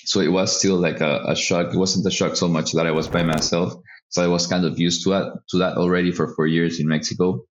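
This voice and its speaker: A man from El Salvador, a podcast guest answering in a relaxed, hesitant way with many fillers. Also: low voice, brisk, few pauses, dull audio, slight background noise. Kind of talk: casual interview answers.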